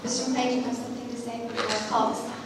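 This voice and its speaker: High-voiced woman in an echoey hall. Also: little pitch movement, racing. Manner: warm, upbeat, thanks and praises the audience. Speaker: school awards ceremony presenter. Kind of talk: speaking to an audience at a school ceremony. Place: church hall. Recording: echoey hall, distant mic, audience noise.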